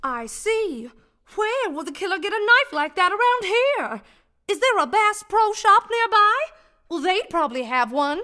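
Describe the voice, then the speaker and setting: A ditzy damsel from a comic radio mystery played by a woman, with an extremely high, bright voice. She is gushing and melodramatic, in an old-time heroine style. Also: very animated, unhurried, heavily acted. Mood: concerned, prim. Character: dramatic, ditzy, always-hungry 1940s-style mystery heroine.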